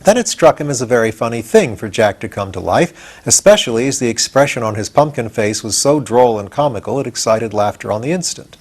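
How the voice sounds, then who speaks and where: An older man, in his sixties, narrates a storybook. His pitch is average for a man. The reading is expressive, in the style of an audiobook. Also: fairly quick, hardly any pauses. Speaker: audiobook reader.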